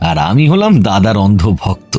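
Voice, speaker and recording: Jovial magistrate, low animated voice. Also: male voice, a little fast, faint music behind. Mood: playful, charming. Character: jovial, self-assured young male government magistrate. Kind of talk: audio drama dialogue.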